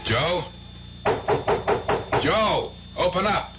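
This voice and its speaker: An actor in a radio drama playing a worried, streetwise older uncle, gruff and familiar. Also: male voice, sits high, expressive, drawn-out words, loud music behind the voice, full character voice. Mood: worried, coaxing. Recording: dull, very noisy old radio recording.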